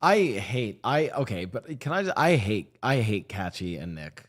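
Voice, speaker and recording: A man's voice: fairly low pitch, sing-song, a little slow. A man live-streaming, chatting casually to his viewers and reacting to a clip, rambling and swearing freely. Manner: swearing, rambling, talks to chat.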